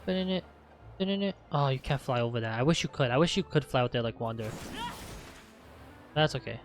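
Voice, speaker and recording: A man's voice: mid-range voice, animated, brisk, soft background music. A man giving casual, joking playthrough commentary as a gaming creator, slangy and offhand, often talking to himself. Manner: slangy, offhand, muttering to self.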